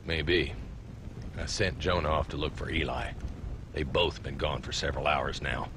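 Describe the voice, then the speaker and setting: A wry, weary frontier marshal. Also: male voice, a bass voice, fairly even intonation, normal speed, noisy background. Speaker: town marshal.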